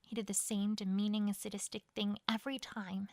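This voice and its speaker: A woman's voice: mid-range voice, flat delivery, a little fast, dry recording, clean studio sound. A woman reading a first-person fantasy story as a dramatic narration. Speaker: fan fiction reader.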